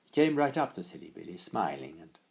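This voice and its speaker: A man with a deep, breathy-husky voice reads a story aloud. His narration is expressive and deliberate, broken by dramatic pauses. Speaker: author reading own story.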